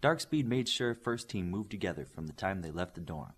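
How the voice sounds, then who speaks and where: A man narrating scripted fiction as an audiobook reader, with expressive delivery that lifts into dialogue. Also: sits low, a little fast, breathy edge.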